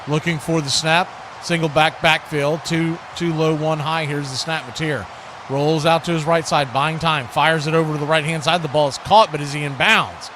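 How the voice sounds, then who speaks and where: A high-pitched American male voice delivers live football play-by-play. He moves between short, clipped present-tense calls and conversational asides. A clear layer of background noise sits behind the voice throughout. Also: fairly even intonation, normal speed. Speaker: play-by-play sports commentator.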